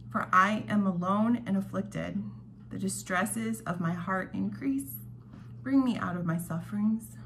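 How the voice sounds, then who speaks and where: A woman's voice: medium pitch, a bit bright. Woman, devotional host, scripted reading with casual asides, home dining room, faint background noise.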